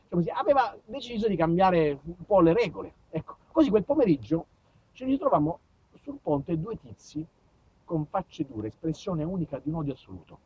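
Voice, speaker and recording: A man's voice: fairly high pitch, husky, highs cut off. A man telling a theatrical first-person story, full of colloquial asides. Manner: colloquial, conversational asides to listeners. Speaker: storyteller, monologue performer. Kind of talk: theatrical autobiographical narration.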